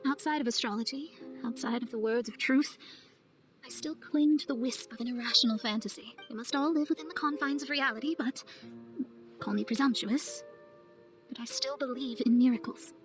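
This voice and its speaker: A woman voicing an earnest, wistful young astrologer in a video game, speaking in a measured, theatrical way. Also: fairly high pitch, music in the background, lightly acted, rough recording. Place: studio, with music behind.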